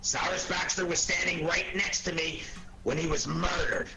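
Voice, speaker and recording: An actor playing a blustery, irritable police inspector with an American accent and a gruff, gravelly, bossy delivery in a 1940s radio drama. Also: male voice, a little high, level tone, normal speed, sharp tone, full character voice. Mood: defensive, irritable. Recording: noisy 1940s radio recording.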